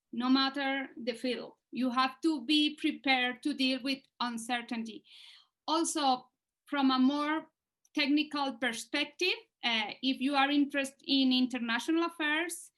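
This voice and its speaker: Slow, bright-voiced woman panelist. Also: high voice. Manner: halting, simple phrasing. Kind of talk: panel talk over video call.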